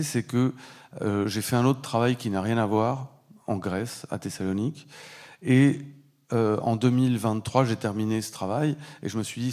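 A man's voice: fairly low pitch, a little mellow. A man who is an author, answering in an interview with frequent hesitations. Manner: hesitant, many fillers. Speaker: guest, author.

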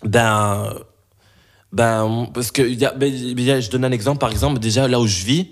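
A man's voice: mid-range voice, steady tone, moderate pace. A young man from Belgium answering interview questions, hesitant, with many restarts and fillers.

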